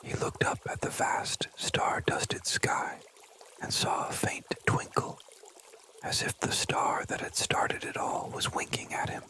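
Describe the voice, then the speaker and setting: Asmr storyteller whispering a kids story, super breathy, bright airy sound, gentle and careful. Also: unhurried.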